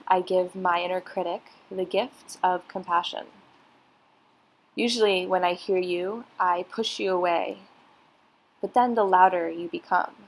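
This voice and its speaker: A woman guiding a meditation in a soothing, unhurried, instructional way. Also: fairly low pitch, gravelly, poor audio. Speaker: meditation guide. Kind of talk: guided meditation narration.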